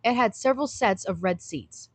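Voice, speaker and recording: A woman's voice: medium pitch, normal speed, slightly rough, dry recording. A woman reading scripted third-person narration for an audio drama.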